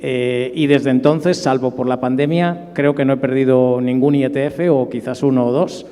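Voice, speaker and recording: A mellow, dark-toned middle-aged man with an accent from Spain. He shares recollections informally as a panelist, his voice carrying a long hall reverb. The pitch is ordinary for a man. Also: steady tone, moderate pace. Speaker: conference panelist.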